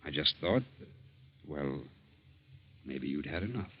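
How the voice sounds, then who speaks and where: An actor playing a firm, blunt family doctor in a 1940s radio drama. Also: male voice, very deep voice, expressive, measured pace, exaggerated voice. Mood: grave concern. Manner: commanding, blunt. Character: firm, stern male family doctor confronting a drunkard. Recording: dull 1940s radio broadcast recording.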